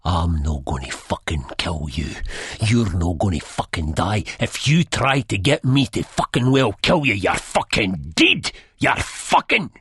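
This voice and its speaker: A man's voice: on the low side, very animated, unhurried, a bit bright, husky, no room sound, clean studio sound, heavily acted. A man playing a violent, menacing Scottish hard man in an audiobook, strained and spitting, swearing with explosive aggression. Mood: threatening. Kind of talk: dramatised audiobook acting.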